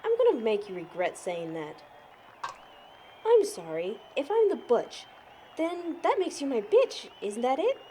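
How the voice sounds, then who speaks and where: A woman's voice: fairly high pitch, wildly swooping pitch, a little slow, warm tone, a little hiss or hum, poor audio. A young woman playing a cocky, taunting competitor in an audio drama.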